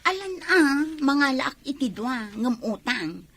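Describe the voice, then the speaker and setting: A woman's voice: high-pitched, big pitch swings, slow, a bit bright, breathy edge, no room sound, exaggerated voice. A woman with an Ilocano accent playing a vain, brazen young woman who shrugs off her debts in a radio drama. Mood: coveting, wheedling. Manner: defiant, flippant.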